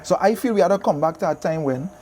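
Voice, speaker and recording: A middle-aged Trinidadian man talks quickly in a casual interview. His voice is mellow and slightly high for a man, and he repeats phrases as he tells his stories. There is faint noise in the background. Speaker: soca DJ and artist being interviewed.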